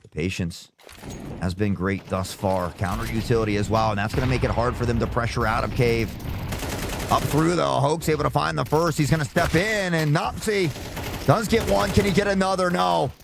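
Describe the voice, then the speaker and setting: A male esports caster with a slightly sore throat, calling play-by-play and erupting into loud shouts on big plays. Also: mid-range voice, normal speed, vocal fry, background noise.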